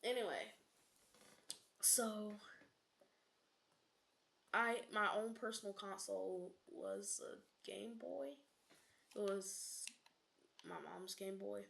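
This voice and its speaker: Young woman, game streamer, casual rambling chat, gravelly fry, mid pitch, whispered asides, self-corrections. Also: slow pace, pauses often, a bit bright, a little hiss or hum.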